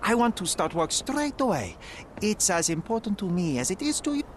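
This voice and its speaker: An actor playing a young male detective in disguise, polite and quick-witted in a video game. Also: high-pitched, big pitch swings, slight background noise. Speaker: lead character.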